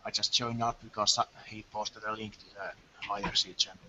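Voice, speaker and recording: Bright-voiced man with a Finnish accent. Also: lowish voice, level tone, a little fast. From Finland. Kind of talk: casual online voice chat. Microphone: online voice call. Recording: lo-fi call audio with faint hiss.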